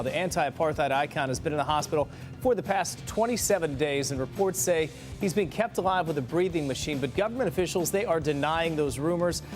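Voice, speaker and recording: An American man reading the news as a morning television anchor. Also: a little high.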